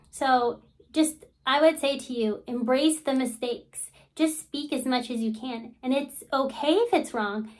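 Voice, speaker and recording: A woman hosting her own channel, talking casually to camera in a chatty way, with lots of ums and you-knows. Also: sits high. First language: English. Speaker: channel host.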